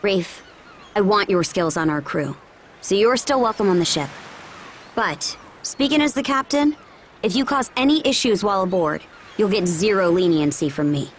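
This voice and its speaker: Actress doing a bossy young lady ship captain from a video game, frank, mid-pitched, noisy ambience behind. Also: lively intonation, normal speed, close to an everyday voice. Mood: frank, stern. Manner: frank, commanding. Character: firm, decisive young female ship captain. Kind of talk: video game cutscene voice acting.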